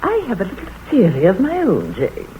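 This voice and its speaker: An actress playing a nosy, know-it-all village spinster who talks brisk and bossy, in a 1940s radio play. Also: female voice, medium pitch, huge pitch swings, a little hiss or hum. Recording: tinny old radio broadcast.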